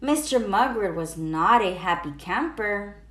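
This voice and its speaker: A woman's voice: mid-range voice, lively intonation, a little slow. A woman reading a children's storybook aloud, expressively and with emphatic sound words. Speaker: teacher reading children's books aloud, channel host. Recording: roomy echo.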